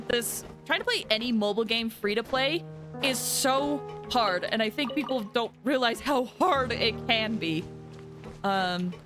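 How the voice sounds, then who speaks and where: A woman game streamer chatting casually to her live viewers. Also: on the high side. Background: game music.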